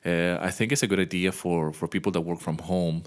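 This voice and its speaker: A man's voice: low voice, steady tone, a little fast. A man in his thirties who grew up in Venezuela, hosting a casual podcast monologue and talking straight to his listeners. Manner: chatty, speaks straight to the listener. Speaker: podcast host, music composer. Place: home.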